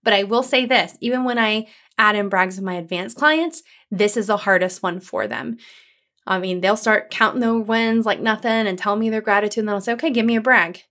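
A woman hosting her own podcast as a coach, talking to listeners in an upbeat, encouraging, conversational way with a calming voice. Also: medium pitch, brisk. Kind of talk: solo podcast episode.

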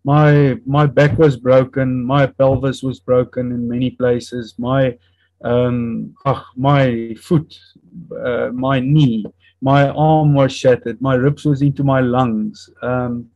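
A man in his fifties whose first language is Afrikaans, speaking with an Afrikaans accent and earnestly recounting a personal testimony in a halting way, often searching for words and restarting. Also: mid-range voice, level tone, darkish tone, no room sound, muffled recording. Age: 50s. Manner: earnest and reflective. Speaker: interview guest.

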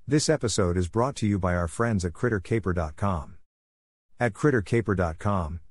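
A man with a low voice presents as a series host. He reads scripted introductions and sponsor copy in a dry, close sound free of room echo. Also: darkish tone. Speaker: host of a classic radio series.

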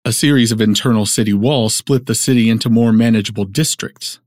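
A man playing a formal, measured narrator who sets out a fictional city's history in an audio drama. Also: fairly low pitch, darkish tone, dry recording, studio quality, lightly acted. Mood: measured, factual.

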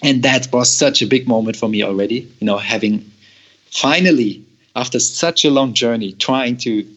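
A man's voice: medium pitch, moderate pace, fairly bright tone. Man telling a story, dull sound. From Germany. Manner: hesitant, repeats words, laughs often. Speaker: guest. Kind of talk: storytelling in an interview.

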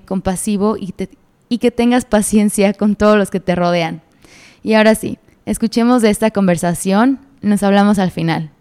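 A woman hosting a casual podcast, upbeat and quick to laugh, with slang. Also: medium pitch, a little mellow, smooth. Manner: upbeat, laughs often, uses Mexican slang. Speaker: podcast host.

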